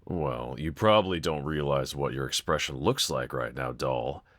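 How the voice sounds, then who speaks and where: A very low-pitched, sardonic villain, acted out by a man in an intimate audio roleplay. He drawls casually and teasingly, with a bright, edgy tone and a quiet vulnerability underneath. The sound is close and dry. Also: moderate pace, dry recording. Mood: subdued, vulnerable. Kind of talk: fan audio roleplay, intimate one-sided dialogue.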